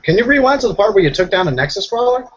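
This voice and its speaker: Husky, animated male gamer. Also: sits high, very fast, highs cut off. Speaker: roleplaying game player. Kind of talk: casual table talk.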